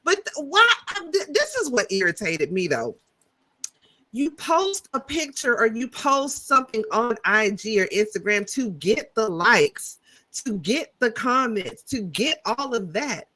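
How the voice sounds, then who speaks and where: A woman's voice: a little high, sing-song, a little slow, sharp tone. A woman co-hosting a casual reality TV review who gives candid opinions and speaks straight to the listeners. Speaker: podcast co-host.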